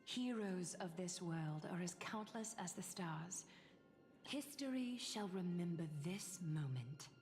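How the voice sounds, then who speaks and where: A woman's voice: on the low side, animated, slow pace, a little mellow. An actress playing a regal, brazen noblewoman who proclaims prophecy in a fantasy game, stately and assured. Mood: ceremonious. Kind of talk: scripted fantasy game acting. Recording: long reverb, faint game music.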